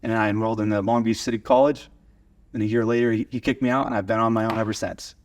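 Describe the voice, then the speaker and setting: A man's voice: on the low side, fairly even intonation, fast talker. A man in his twenties from Oklahoma with an American accent, talking casually as an interview guest and telling stories. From small town in Oklahoma, USA. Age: 20s. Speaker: podcast guest, video studio owner. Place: converted garage video studio.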